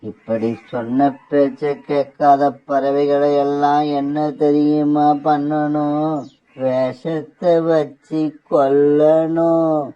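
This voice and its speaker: A man voicing a scheming older male bird uncle in a cartoon, talking in a slow, drawn-out way. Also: fairly high pitch, little pitch movement, mellow, clean tone. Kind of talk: cartoon voice acting. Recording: dull lo-fi recording.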